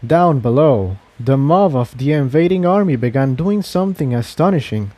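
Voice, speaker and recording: Mellow, animated male storyteller. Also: medium pitch, moderate pace, dry recording. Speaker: fan fiction narrator. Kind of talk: dramatic scripted audiobook narration.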